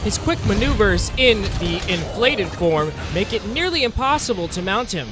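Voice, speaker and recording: Over clearly audible music, a man delivers dramatic game narration in a high-pitched voice. His delivery is animated and theatrical, rising and falling widely. He phrases deliberately and intensely, like a documentary narrator who is also the hunter. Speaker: gaming video narrator playing a monster hunter.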